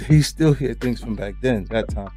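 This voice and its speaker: A man's voice: mid-range voice, normal speed, mellow. An American man explains casually but insistently, repeating himself to make his point. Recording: music under conversation clip.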